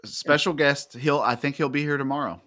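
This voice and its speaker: A man's voice: a little high, fairly even intonation, normal speed, pushed and bright. A man from Texas co-hosting a casual show, telling stories with an upbeat, easy-laughing manner. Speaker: co-host. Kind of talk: casual chat.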